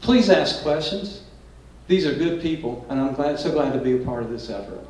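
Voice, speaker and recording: A man's voice: fairly high pitch, animated, normal speed, a little mellow, a little hiss or hum. An older man hosting an event, introducing the panel in a reflective, humble, good-humored way. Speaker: event host. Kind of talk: introductory talk to an audience. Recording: echoey room, distant mic.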